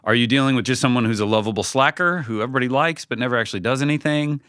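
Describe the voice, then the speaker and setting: A man giving casual, conversational advice as a podcast guest, informal and halting, often acting out bits of imagined dialogue. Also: mid-range voice, fairly bright tone, smooth, no echo, clean studio sound. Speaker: podcast guest, creative team leader.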